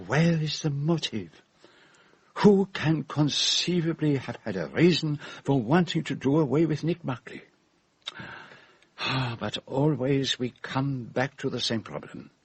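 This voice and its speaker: An actor playing a shrewd, theatrical middle-aged detective with a Belgian French accent, speaking in formal, emphatic phrases in a radio drama. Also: male voice, medium pitch, a little slow, husky, no room sound. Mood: probing. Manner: emphatic, precise, formal phrasing with rhetorical questions.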